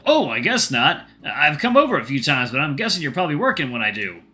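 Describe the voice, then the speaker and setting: Polite young sporty boyfriend acted by a male narrator, bright forceful voice, lively pitch, earnest, slightly hesitant. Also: quick pace. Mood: polite, nervous.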